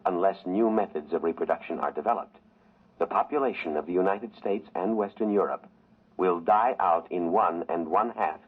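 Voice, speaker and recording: A man's voice: deep voice, slightly rough. A man playing an emotionless computer brain in a 1950s radio drama, speaking in a formal, precise, machine-like way. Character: emotionless giant electronic brain reporting grim data. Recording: muffled phone-like old radio recording.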